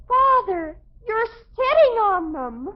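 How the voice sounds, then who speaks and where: An actress playing a dramatic, easily wounded teenage daughter in a 1950s radio sitcom, with a plaintive manner. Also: female voice, very high voice, big pitch swings, very slow, a little hiss or hum, exaggerated voice. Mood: dismayed, wailing. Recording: muffled old 1950s radio broadcast.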